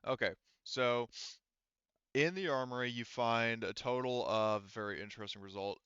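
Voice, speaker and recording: A man acting as game master of a tabletop roleplaying game, improvising in a halting way and thinking aloud. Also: medium pitch, a bit bright, smooth, dry recording, highs cut off.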